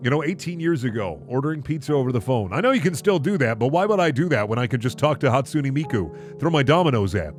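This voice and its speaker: A man's voice: medium pitch, lively intonation, quick pace, few pauses, soft background music. A man hosting a comedic reading, switching between mock-dramatic narration and casual, sarcastic commentary. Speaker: host reading and reacting to fan fiction.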